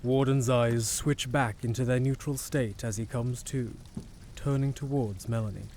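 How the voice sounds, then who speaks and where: A man's voice: medium pitch, fairly even intonation, a little slow, darkish tone, a little hiss or hum, natural voice. A man reading dramatic scripted narration for an audio drama as its narrator.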